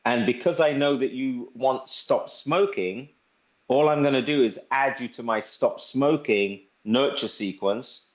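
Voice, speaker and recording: A man's voice: mid-range voice, measured pace. A man presenting an informal product demonstration in conversational talk. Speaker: presenter demonstrating a phone assistant. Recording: dull lo-fi audio.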